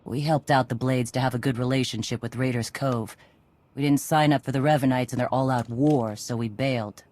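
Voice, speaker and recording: An actress playing a tough, cocky Mandalorian clan leader in a video game, brash and sardonic in a casual way. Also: female voice, extremely low pitch, fairly even intonation, fairly quick, darkish tone. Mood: wry, grudging.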